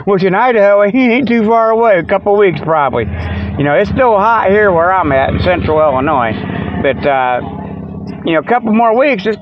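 A middle-aged American man with a slightly high voice rambles through a folksy comedic monologue. He talks colloquially and keeps drifting off his point, like a host chatting off the cuff. The recording is dry and a little dull and lo-fi. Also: no room sound. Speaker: podcast host.

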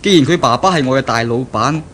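Actor as earnest young fiancé, noisy vintage radio. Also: male voice, medium pitch, lively intonation, quick pace, fairly bright tone, close to an everyday voice. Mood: exasperated. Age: young adult. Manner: explanatory, earnest, persuasive. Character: young male Japanese graduate, pragmatic, reassuring fiancé. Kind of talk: 1970s Cantonese radio drama acting. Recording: noisy 1970s radio drama recording.

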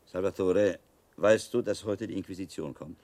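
A man plays a middle-aged monk investigator in a radio play. His voice is very deep and carries calm authority. He questions in a measured, pointed and shrewd manner. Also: close to an everyday voice. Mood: pressing, stern. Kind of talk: radio drama acting.

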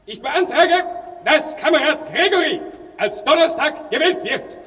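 An actor playing a zealous, excitable anarchist comrade calling out at a meeting in a radio play. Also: male voice, extremely high pitch, a little slow, heavily acted. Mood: insistent. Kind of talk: German radio play acting. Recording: distant voice in echoey hall.

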